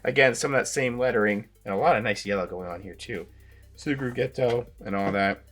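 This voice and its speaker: A man hosting a casual toy review, his voice raspy from a sinus infection, talking in a relaxed, rambling way with filler words. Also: lowish voice, brisk, soft background music. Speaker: toy reviewer, channel host.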